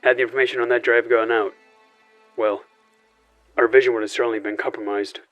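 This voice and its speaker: An actor playing a calm, calculating, authoritative administrator in a sci-fi audio drama, speaking formally and deliberately. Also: male voice, mid-range voice, muffled recording, soft background music, close to an everyday voice. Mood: commanding, approving.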